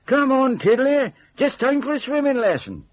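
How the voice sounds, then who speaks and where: A man's voice: very high-pitched, big pitch swings, normal speed, voice pushed up, full character voice. A man voicing an affectionate, carefree older brother from a country mill in a storybook, with a breezy manner. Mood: cheery, calling. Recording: dull, band-limited audio.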